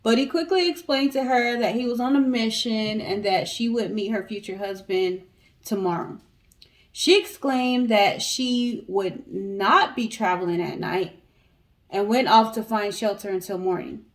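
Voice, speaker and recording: A woman's voice: medium pitch, measured pace. A woman co-hosting a story show, reading a tale aloud in a casual way. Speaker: co-host of a story guessing show.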